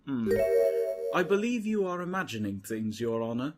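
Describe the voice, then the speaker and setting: A man voicing a cool, proud gentleman lawyer in a video game fan dub, with dry, formal composure. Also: medium pitch, very animated, normal speed, loud music behind the voice, close to an everyday voice. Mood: guarded.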